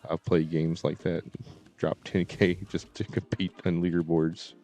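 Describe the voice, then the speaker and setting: A man's voice: low voice, level tone, a little slow, dark tone. A man streaming games and chatting casually in a hesitant, rambling way. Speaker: game streamer. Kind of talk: casual livestream chat.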